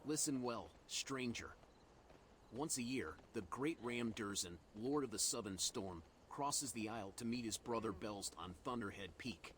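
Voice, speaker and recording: A man's voice: medium pitch, animated, unhurried, a bit bright, a little hiss or hum. A synthetic man's voice playing a solemn, portentous dwarf storyteller giving quests in a fantasy game. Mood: solemn, earnest.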